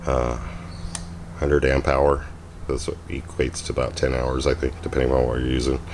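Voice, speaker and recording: A man with a very deep, low voice talks through a casual walkthrough, filler words scattered between his thoughts. It was recorded on a handheld camera mic with clear noise running underneath. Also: little pitch movement, moderate pace, a little mellow. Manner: rambling, chatty, with many ums and uhs. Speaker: vlogger showing his homemade trailer. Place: outdoors at a parking lot, then inside a small trailer.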